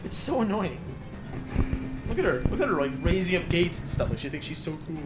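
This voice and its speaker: A man giving casual, animated commentary as he plays a video game with a friend, joking and slipping into mock voices. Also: high-pitched, a little fast, poor audio. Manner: animated, joking, breaks into mock voices and sung sound effects. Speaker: let's play host and player. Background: video game music.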